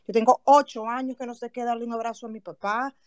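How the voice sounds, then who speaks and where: A woman with a Venezuelan accent talks over a remote audio call in an earnest, heartfelt way. Her voice sits a little high, and the sound is dry and close. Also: fairly even intonation, quick pace, no room sound. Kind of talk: personal remarks in an online audio chat room.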